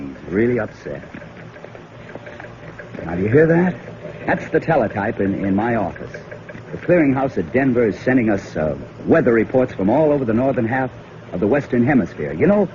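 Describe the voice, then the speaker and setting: Actor playing an animated, exasperated small-town American weatherman. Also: medium pitch, moderate pace, natural voice. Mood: explaining. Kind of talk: 1940s American radio drama acting. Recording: muffled old radio recording with faint noise.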